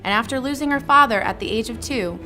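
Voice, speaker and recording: A woman's voice: fairly high pitch, quick pace. A woman reading a scripted tribute aloud as narration. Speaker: company employee reading submission stories. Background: prominent background music.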